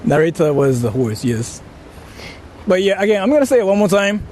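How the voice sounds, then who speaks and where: A Japanese-accented man with a fairly high voice talks to his livestream viewers. His delivery is animated and halting, often repeating phrases as he explains himself. Faint city and traffic noise surrounds him outdoors. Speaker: live-streamer. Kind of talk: casual livestream chat to viewers.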